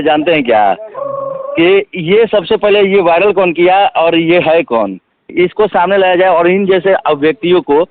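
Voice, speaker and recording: A man being interviewed, speaking in a halting, repetitive, emphatic way. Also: a little high, no room sound. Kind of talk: phone interview. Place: phone call. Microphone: telephone. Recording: tinny phone call recording.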